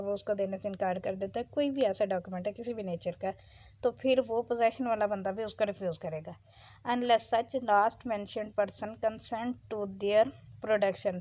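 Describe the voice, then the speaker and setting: A woman giving an explanatory law lecture, switching between slow, deliberate English reading and explanation in her own language. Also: mid-range voice, mellow, dry recording.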